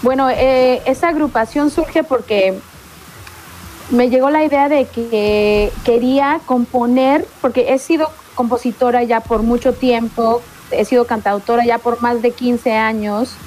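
A middle-aged woman from Mexico, a singer-songwriter, chatting casually in an interview and often pausing to search for words. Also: fairly high pitch, steady tone, darkish tone, a little hiss or hum, poor audio.

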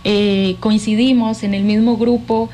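A woman's voice: mid-range voice, flat delivery, measured pace, warm tone, slight background noise. A woman with a Colombian accent speaking effusively and affectionately as a guest in a conversational interview. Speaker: interview guest, cultural manager.

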